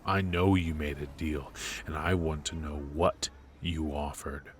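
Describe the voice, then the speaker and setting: Casting: male actor as shrewd, ruthless mafia boss for noir ASMR roleplay; extremely low voice, slow delivery, teasing and smug. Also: a little hiss or hum. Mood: coolly bargaining. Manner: unhurried, smug, probing, with pauses and musing hums. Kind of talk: intimate ASMR roleplay, noir audio drama.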